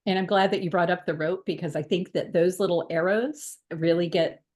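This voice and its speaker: A woman's voice: lowish voice, steady tone, brisk, darkish tone. A woman academic on a panel over a video call, earnest and insistent, repeating words for emphasis. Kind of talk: panel discussion.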